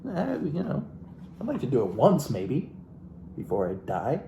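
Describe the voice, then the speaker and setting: A man's voice: medium pitch, huge pitch swings, a little slow, gravelly, warm tone. A man reading a self-help book aloud in a theatrical, playful way, breaking off into wry, joking asides of his own. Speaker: comedic reader and commentator. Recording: echoey room with background hiss.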